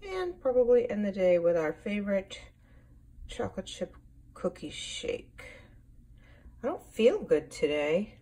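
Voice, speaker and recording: A woman in her forties, hoarse from a sore throat, chatting in a rambling, self-deprecating way in a casual video diary filmed in her home kitchen. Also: mid-range voice, sing-song, measured pace, pauses often, mellow. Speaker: diet vlogger. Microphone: camera at arm's length.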